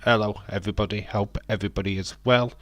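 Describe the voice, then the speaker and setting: A man with a slightly croaky voice hosting a live stream, chatting in a rambling, friendly way with lots of hesitant fillers. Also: lowish voice, steady tone, quick pace, fairly bright tone, dry recording. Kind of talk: casual live watch-along chat, reading viewer comments.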